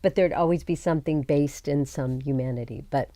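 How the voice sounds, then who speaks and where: Woman with a low soft-edged voice chatting in a dry studio interview. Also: brisk, no room sound. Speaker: panel guest. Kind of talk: casual interview chat.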